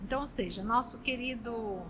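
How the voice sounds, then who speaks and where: A woman's voice: a little high, a little fast, over music. A Brazilian woman hosting a book study group, speaking affectionately and reading aloud. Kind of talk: warm group talk and slow read-aloud of a novel.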